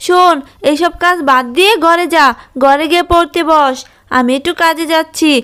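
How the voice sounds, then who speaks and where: A woman's voice: very high voice, normal speed, a bit bright. A woman voicing a suspicious, scolding, protective mother jackal in a cartoon. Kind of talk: cartoon voice acting.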